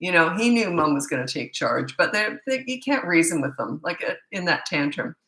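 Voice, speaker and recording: Hoarse, blunt middle-aged woman. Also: on the low side, sing-song, fairly quick, fairly bright tone. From Canada. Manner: direct and no-nonsense, with frequent fillers and restarts. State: hoarse, losing her voice. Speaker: parenting coach. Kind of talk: live-stream Q&A answering viewer comments. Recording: echoey room.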